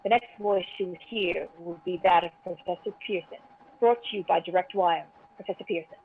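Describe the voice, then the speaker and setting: A woman's voice: fairly low pitch, a little fast, slight background noise, natural voice. A woman playing a formal radio news announcer who reads grave bulletins in a 1930s-style radio drama. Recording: muffled lo-fi phone-like audio.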